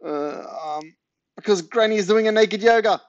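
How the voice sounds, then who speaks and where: Man playing a squeaky, panicky young boy. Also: sing-song, unhurried, breathy edge, dry recording, lo-fi sound, exaggerated voice. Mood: flustered. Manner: spluttering, squeaky when nervous.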